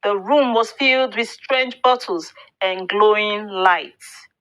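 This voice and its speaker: A woman narrating a scripted folktale in a deliberate, expressive storybook manner. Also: medium pitch, smooth, highs cut off.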